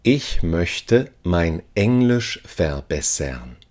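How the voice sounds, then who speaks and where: A man with a low voice reads lesson phrases at a very slow, deliberate pace. He says each short sentence several times, giving the learner time to repeat it. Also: sing-song, darkish tone. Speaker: language teacher.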